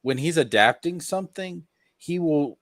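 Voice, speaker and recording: A man's voice: fairly high pitch, lively intonation, normal speed, a bit bright, no echo, clean studio sound. A man in a casual discussion with friends, talking emphatically and restating his points as he gives his opinions. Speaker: podcast panelist.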